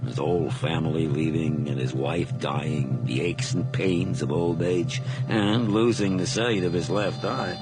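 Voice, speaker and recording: An actor playing an affable, unhurried officer with an easygoing, drawling manner, in a radio drama. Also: male voice, sits low, steady tone, over loud music. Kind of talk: 1980s radio drama acting. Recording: hissy old radio broadcast.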